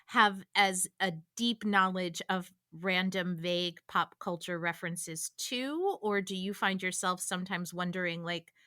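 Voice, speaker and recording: Female cast member, bright forward voice, slow conversational interview delivery. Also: fairly low pitch, smooth. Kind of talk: casual interview chat.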